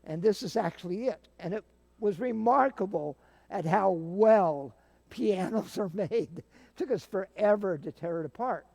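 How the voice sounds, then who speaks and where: A man's voice: high voice, animated, normal speed, gravelly, dark tone. An older man casually explaining and demonstrating things as a guest. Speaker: guest, theater sound-effects practitioner.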